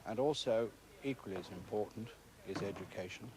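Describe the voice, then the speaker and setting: A man with a British accent speaks as a school principal being interviewed. His voice is on the low side, and he explains things in formal, earnest, measured phrases. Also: crowd noise, rough recording.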